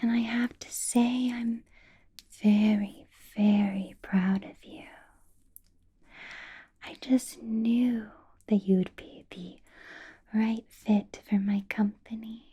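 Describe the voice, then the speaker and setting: A woman playing a doting yet menacing vampire boss in a breathy, whispered ASMR roleplay. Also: on the high side, steady tone, dark tone, exaggerated voice. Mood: doting, proud. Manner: slow, breathy whisper with silky, drawn-out phrasing.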